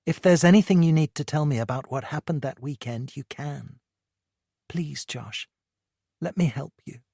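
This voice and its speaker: A reader voicing a middle-aged mother who is worried and grieving, speaking quietly and gently as she coaxes her son. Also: low voice, moderate pace, creaky, husky, no echo, studio quality, pitched above the performer's own voice, full character voice. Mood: pleading.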